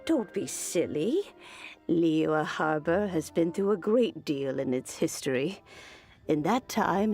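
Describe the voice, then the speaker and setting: Slow, creaky, kindly old granny. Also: female voice, low-pitched, a little mellow. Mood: calm, firm. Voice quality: creaky elderly voice. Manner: measured, chuckling, old-fashioned phrasing. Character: kindly, unhurried old granny, wise and gently firm. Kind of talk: video game voice acting. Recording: game audio with faint music behind.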